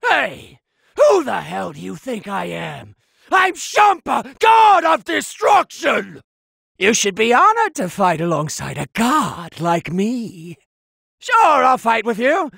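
A man voicing a pompous, petulant, gluttonous fat cat god of destruction in an anime video game, with a gravelly, strained, blustering delivery. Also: very high-pitched, a bit bright, dry recording, clean studio sound, heavily acted. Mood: irritated, threatening. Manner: bratty, blustering, imperious.

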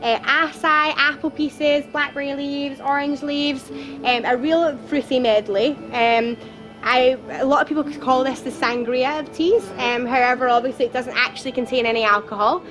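A young woman leads a tour group through an outdoor garden, her voice high and bright as she talks loudly to the people around her. She is chatty and playful, and she is picked up by a camera a few steps away. Music plays clearly in the background. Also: moderate pace. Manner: loud, lively and chatty, with playful jokes. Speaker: tour guide. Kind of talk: guided tour talk to a group. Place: outdoor garden at a theme park. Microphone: camera a few steps away, no microphone.

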